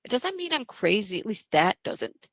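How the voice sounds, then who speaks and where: A woman playing a cocky, sardonic young psychiatric patient, self-assured and deadpan in a script read. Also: medium pitch, moderate pace, no echo. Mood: defensive. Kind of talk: dramatic table read of a screenplay.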